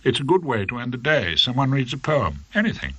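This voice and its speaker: Man voicing quiet, anxious young woman, raised pitch. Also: sing-song, brisk, breathy edge, no echo, low-quality recording. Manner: quiet, hesitant.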